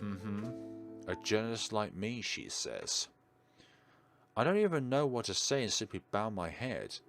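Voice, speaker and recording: A man with a low voice reads a visual novel aloud for a let's play. His delivery is expressive and moves widely in pitch. Game music runs clearly behind him. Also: measured pace. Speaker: let's player reading aloud.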